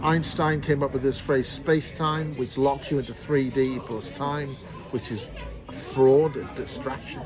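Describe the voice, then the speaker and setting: A man's voice: mid-range voice, fairly even intonation, a little slow, low-quality recording. A man with a Belfast accent, talking in an emphatic, rambling way in a casual conversation outdoors. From Northern Ireland. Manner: rambling, emphatic, jokey teasing. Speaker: speaker on secret space programs. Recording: loud crowd noise behind.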